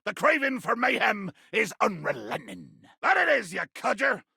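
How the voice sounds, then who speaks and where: A man voicing a bloodthirsty, irascible pirate captain for a video game, ranting theatrically in archaic sea-dog speech with a gravelly growl. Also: high-pitched, very animated, normal speed, pushed and bright, dry recording, studio quality, full character voice. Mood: fierce, ranting. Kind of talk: video game character acting.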